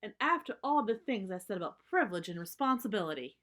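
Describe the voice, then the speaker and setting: A woman's voice: mid-range voice, very animated, fast, a bit bright. A woman voicing a stern, exasperated mother bear from a children's storybook, scolding with indignant emphasis. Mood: angry, disappointed.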